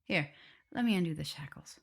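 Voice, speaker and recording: A woman's voice: sits low, lively intonation, gravelly, husky, heavily acted. A woman playing a tender, nervous vampire mistress in an intimate ASMR roleplay, speaking hesitantly and often slipping toward a whisper. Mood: caring, playful. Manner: hesitant, halting, breaking into whispers and soft laughs. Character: tender, nervous, guilt-ridden female vampire mistress in love.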